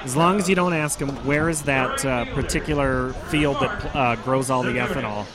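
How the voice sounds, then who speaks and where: A man's voice: mid-range voice, moderate pace, over a noisy crowd. A man hosting a podcast, talking casually and rambling, often correcting himself mid-sentence. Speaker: podcast host. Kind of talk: casual sports talk.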